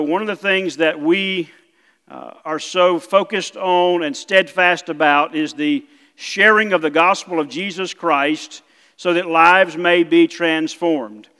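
A middle-aged man with a South Mississippi accent, preaching as a guest missionary pastor, halting with many fillers. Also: a little high, sharp tone, smooth. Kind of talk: sermon. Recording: church hall reverb.

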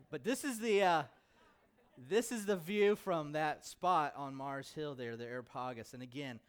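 An American man, a pastor, speaking informally to his church about a mission trip in an animated, conversational storytelling way. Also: on the high side, unhurried.

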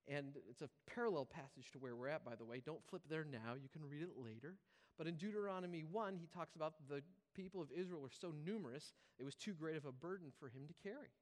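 A high-pitched male voice rings through a reverberant hall. He is a pastor delivering an informal, conversational sermon to his congregation, often restating key phrases to make them land. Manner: repeats key phrases for emphasis. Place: large reverberant room, preaching live to congregation. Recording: hall reverb, live preaching.

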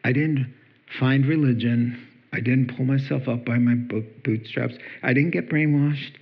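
A man's voice: medium pitch, steady tone, a little slow, muffled recording, close to an everyday voice. A man voicing a converted man who insists earnestly on his story. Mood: insistent.

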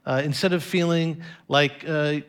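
Man, pastor, slightly high voice, hesitant, lots of uh fillers. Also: fairly even intonation, unhurried, clean tone. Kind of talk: church interview on stage.

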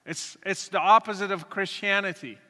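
Guy speaking slow and earnest, bright voice, big echoey hall. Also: high-pitched. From Morocco. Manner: earnest, deliberate, with pauses and restarts. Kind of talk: testimony talk to an audience. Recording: reverberant hall.

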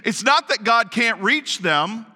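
A man preaching a sermon to his congregation, naturally loud and emphatic, often asking them questions. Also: extremely high pitch, lively intonation, slow. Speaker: pastor. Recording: long reverb of a large hall.

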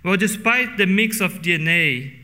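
A middle-aged Brazilian pastor preaches in English with a Portuguese accent, drawing out key words for weight. His voice is bright and sits a little high for a man. It rings out with the long reverb of a church hall. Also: expressive. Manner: earnest, slows down for emphasis. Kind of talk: sermon. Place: church sanctuary.

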